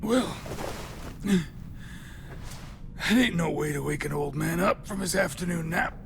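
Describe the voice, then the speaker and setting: An actor playing a cocky, crude older raider held captive in a video game, with a gravelly drawl and a taunting, folksy manner. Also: male voice, fairly high pitch, unhurried, background noise. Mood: sly, groggy.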